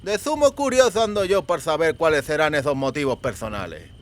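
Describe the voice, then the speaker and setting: A man acts out the eldest of a rough country gang in an audiobook. The voice is fairly high, with a lisp. He talks slowly and sardonically, in old-fashioned rustic words, with menace underneath. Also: animated, close to an everyday voice. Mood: mocking. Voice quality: lisping. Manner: slow, archaic rustic speech, sardonic.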